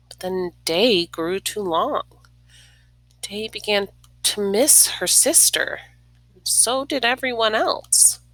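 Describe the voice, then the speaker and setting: Slow, creaky-voiced woman reading a storybook. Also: fairly low pitch, sharp tone, no room sound, poor audio. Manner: dramatic pauses, drops to near-whisper. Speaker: storybook reader. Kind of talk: expressive children's read-aloud with casual asides.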